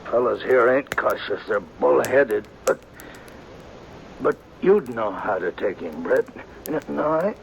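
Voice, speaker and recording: An actor playing a wounded, remorseful old sheriff who speaks weakly and haltingly in a 1950s radio drama. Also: male voice, medium pitch, lively intonation, creaky, slight background noise, poor audio. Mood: pleading. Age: older. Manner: weak, halting, pleading.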